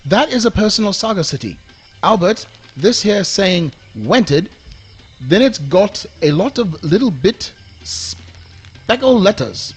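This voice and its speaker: A muddle-headed cartoon owl, high and husky. He pronounces with lively swoops and great self-importance in a comic radio play. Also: slow, soft background music, exaggerated voice. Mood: pedantic.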